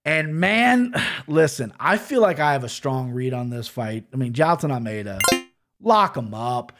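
A man's voice: medium pitch, lively intonation, a little slow, a bit bright. A man breaking down fight betting lines in a casual way. He is brash and profane, and he rambles. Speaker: sports betting picks host.

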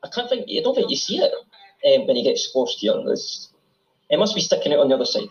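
A man's voice: fairly high pitch, sing-song, quick pace. A man speaking as a guest in a casual chat, commenting while they watch an episode, with easygoing hesitations. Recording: roomy, dull-toned recording.

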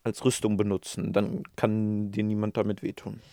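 A man's voice: fairly low pitch, completely flat delivery, moderate pace, dark tone, no room sound, clean studio sound. Rambling self-mocking podcast host, man. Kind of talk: casual podcast chat.

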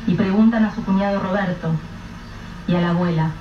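A woman narrating a radio drama in a measured, grave storytelling manner. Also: mid-range voice, steady tone, noisy background. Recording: roomy, dull, distant mic with soft music.

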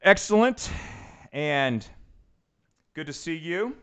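A man's voice: a little high, animated, slow, fairly bright tone, clean tone. A middle-aged man hosting a casual show, rambling loosely with many fillers as he introduces his guest. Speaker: podcast host. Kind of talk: casual chat.

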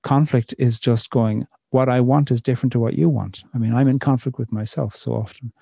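Poet, middle-aged male, dark gravelly voice, slow reflective delivery. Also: mid-range voice, fairly even intonation, no echo, studio quality. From Ireland. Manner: thoughtful, measured, many pauses and fillers. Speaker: poet, interview guest. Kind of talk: reflective conversational interview.

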